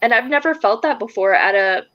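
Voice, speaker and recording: Dull, dry lo-fi audio carries a woman's casual chat. She is a guest on a podcast, and her voice is a bit higher than average. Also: expressive, brisk, no echo. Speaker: podcast guest, paranormal investigator.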